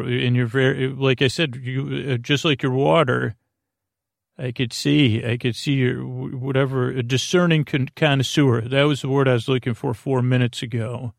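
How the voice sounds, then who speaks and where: Creaky-voiced man, halting and meandering. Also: medium pitch, dry recording, clean studio sound. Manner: halting and meandering, repeats words, trails into tangents. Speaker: podcast host. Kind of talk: rambling, whimsical bedtime-podcast monologue.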